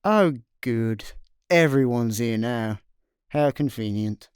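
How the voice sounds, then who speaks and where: A hapless space slacker, played by a man, sarcastic and bemused. His pitch jumps around in lively fashion, recorded close and dry for a radio comedy. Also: slow, clear, resonant tone, no room sound. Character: sardonic, hapless young male space layabout. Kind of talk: comic sci-fi radio play acting.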